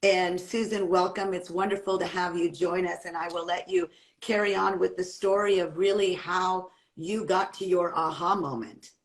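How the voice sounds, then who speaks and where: A woman's voice: fairly low pitch, steady tone, moderate pace, a little hiss or hum. A woman hosting a live video-call panel, welcoming guests in an upbeat way. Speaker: panel host, company founder.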